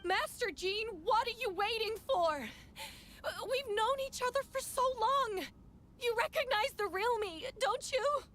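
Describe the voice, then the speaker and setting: A woman's voice: extremely high pitch, measured pace, a bit bright, slight background noise. A woman playing an indignant, accusatory impostor knight in a video game, with theatrical, pointed delivery. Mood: urgent.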